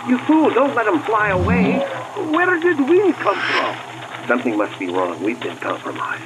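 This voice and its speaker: A man's voice: high-pitched, wildly swooping pitch, normal speed, very noisy. A man playing a panicky, scolding spy on the run in a radio play. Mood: panicked.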